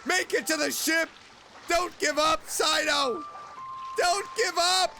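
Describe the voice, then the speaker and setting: A man doing casual gaming commentary, chatting excitedly to himself and his game character. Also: extremely high pitch, slow. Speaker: streamer, video game let's player. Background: game music.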